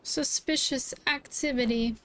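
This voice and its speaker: A woman giving casual, expressive and exclamatory reactions as she plays a video game. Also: high voice, a little slow, rough recording. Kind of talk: casual gameplay reaction commentary.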